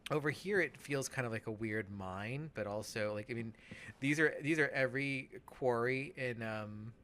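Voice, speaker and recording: A man gives improvised, casual game commentary in a rambling, halting way, often restarting and trailing off. His voice sits at an average male pitch, with steady noise audible behind it. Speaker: let's play podcast host.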